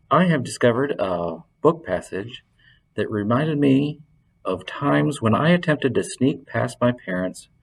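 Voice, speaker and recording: A man's voice: lowish voice, vocal fry, breathy edge, low-quality recording. A man presenting and reading a fantasy story aloud as scripted narration. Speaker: library reader and presenter.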